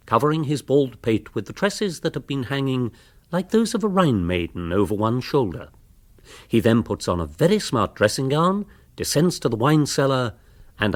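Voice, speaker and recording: An actor playing an urbane English author, narrating a radio comedy in a literary, drily amused manner. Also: male voice, mid-range voice, no echo. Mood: wry.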